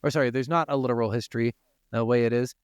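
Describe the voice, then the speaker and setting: A man's voice: medium pitch, steady tone, racing, clean tone, dry recording, studio quality. A man hosting a casual gaming podcast, moving between informal, sweary chat and reading from notes. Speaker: podcast host.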